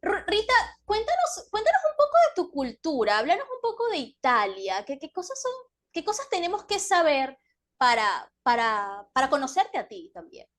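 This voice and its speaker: A woman's voice: high-pitched, sing-song, moderate pace. A Latin American woman hosting a casual interview, prompting her guest with friendly questions. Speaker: podcast host.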